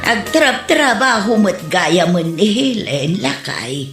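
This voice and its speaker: A woman's voice: medium pitch, big pitch swings, slow, loud music behind the voice. A woman acting a concerned, plain-spoken older village woman who reproves and advises in a comedy drama. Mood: concerned advice. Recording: echoey room.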